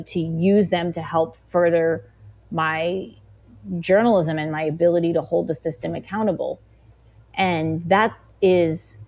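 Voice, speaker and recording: A woman's voice: lowish voice, darkish tone. A woman giving reflective interview answers in a conversational manner, peppered with "you know" and "like". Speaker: interview guest, investigative journalist and author.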